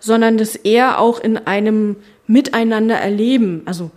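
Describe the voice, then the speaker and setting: Halting, thoughtful woman politician. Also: on the high side, a little mellow. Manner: thoughtful, halting, many fillers and restarts. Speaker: politician, spokesperson for family and education. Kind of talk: casual interview talk. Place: her constituency office.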